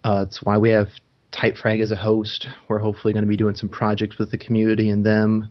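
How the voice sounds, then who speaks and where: A man's voice: on the low side, completely flat delivery, fast. Casual lo-fi podcast host man. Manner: chatty, frequent ums and restarts. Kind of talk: casual gaming podcast chat. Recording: dull lo-fi sound.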